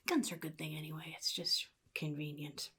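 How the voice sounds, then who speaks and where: Woman playing a sadistic male comic-book supervillain, gravelly half-whisper, husky, halting drawl, casually menacing mentor, soft ASMR roleplay. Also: deep voice, little pitch movement, exaggerated voice. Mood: casually boastful.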